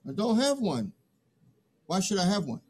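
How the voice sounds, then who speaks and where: A naive young pupil in a play, acted by a man. His voice is notably high for a male, and he sounds hesitant, bewildered and timid. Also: sing-song, moderate pace, slightly rough, exaggerated voice. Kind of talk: theatrical play reading.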